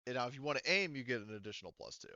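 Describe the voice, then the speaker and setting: A man's voice: medium pitch, expressive, very fast, bright, forceful tone, no room sound, dull audio. A man acting as game master of a tabletop roleplaying game, thinking aloud hesitantly as he works through the rules.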